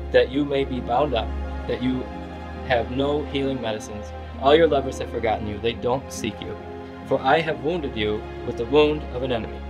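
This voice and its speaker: A man reads scripture aloud as a Bible reader. His pitch sits in the middle range for a male voice, and music plays clearly behind him. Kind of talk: scripture reading.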